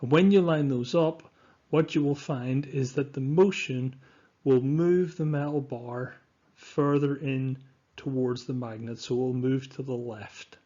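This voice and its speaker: A man's voice: medium pitch, steady tone, unhurried, mellow. Dull-sounding recording of a male science teacher. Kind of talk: scripted lesson narration over slides.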